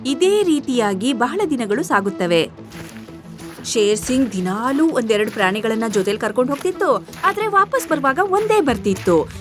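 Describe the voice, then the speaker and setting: A woman narrating an animal story in an animated storytelling style. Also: high voice.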